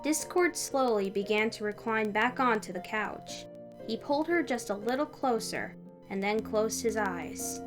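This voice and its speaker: A woman's voice: fairly high pitch, lively intonation, unhurried, over music. A woman speaking as narrator and host of a fan fiction reading. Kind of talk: story narration and casual outro chat.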